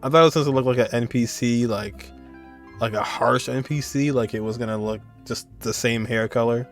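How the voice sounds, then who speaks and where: A man's voice: mid-range voice, a little fast. A man giving casual video game playthrough commentary, reacting in muttered asides and echoing what he hears. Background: game music.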